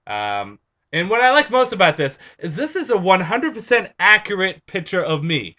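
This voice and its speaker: A young man chatting casually on his home vlog, his voice a bit raspy and stuffed up from allergies, rambling with restarts. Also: high voice, very animated, normal speed, bright, forceful tone. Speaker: vlogger.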